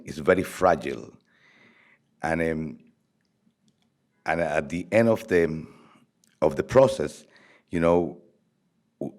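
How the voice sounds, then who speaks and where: Male artist, very low voice, Chilean Spanish accent, native Spanish speaker, reflective and halting delivery. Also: darkish tone. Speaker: visual artist. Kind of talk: reflective talk about own work.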